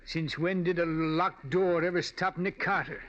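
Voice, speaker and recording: Casting: hearty, exclamatory policeman with a high voice, folksy and easily amazed, old radio acting. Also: steady tone, a little slow, fairly bright tone. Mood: admiring. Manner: folksy, exclamatory, stammering when surprised. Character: excitable, admiring male police officer, folksy and hearty. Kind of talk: 1940s radio drama acting. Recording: dull, lo-fi 1940s radio recording.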